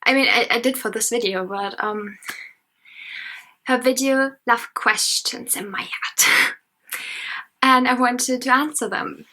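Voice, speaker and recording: A woman's voice: a little high, sharp tone. A nineteen-year-old woman with a German accent, a student talking through an unscripted vlog in a chatty, self-conscious way with many fillers. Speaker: history and sociology student. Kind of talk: unscripted casual vlog commentary. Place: her parents' house at night.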